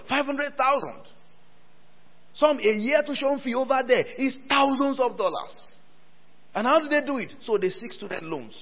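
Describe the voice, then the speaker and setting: High, animated preaching man. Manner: call-and-response preaching, repeats phrases for the church. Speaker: pastor. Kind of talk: sermon. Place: church hall. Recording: thin phone-like sound with long hall reverb.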